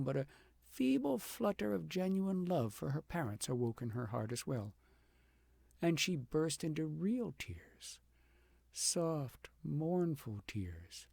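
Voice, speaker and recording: A man narrating a fairy tale aloud as an audiobook, with dramatic, deliberate phrasing that swells for emphasis and drops to a hush. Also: a little high, creaky, dry recording. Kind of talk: expressive storybook narration.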